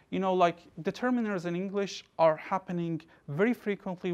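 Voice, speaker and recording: Young man lecturing. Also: high voice. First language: Persian. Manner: loose phrasing with restarts and fillers. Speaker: researcher giving a talk. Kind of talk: academic lecture. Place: lecture room, indoors.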